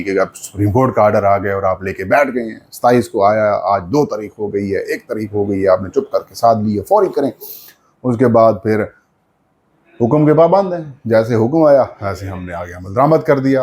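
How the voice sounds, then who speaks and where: A man with a Pakistani accent gives unscripted political news commentary, explaining details point by point with frequent pauses. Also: on the low side, darkish tone.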